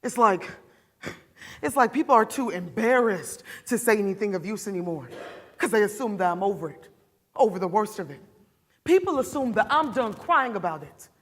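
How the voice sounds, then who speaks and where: A woman playing an embittered, wounded mother in a dramatic stage monologue, halting and defensive, throwing out pointed questions. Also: on the low side, fairly bright tone. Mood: agitated, bitter. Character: embittered, wounded mother deciding her attacker's punishment.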